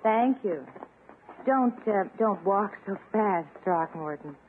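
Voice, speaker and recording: A woman's voice: mid-range voice, expressive, slow pace. Genteel lady principal, polite and wryly teasing, old radio comedy, thin band-limited sound with light noise. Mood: pleasant, amused. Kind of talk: 1950s radio comedy acting. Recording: tinny, noisy 1950s radio recording.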